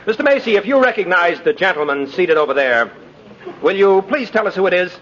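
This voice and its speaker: An actor playing an idealistic, persuasive lawyer, earnest and emphatic, in 1950s radio drama style. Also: male voice, sits high, sing-song, a little fast, a bit bright. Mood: formal. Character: idealistic, persuasive young male defense lawyer. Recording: noisy, dull 1950s radio recording.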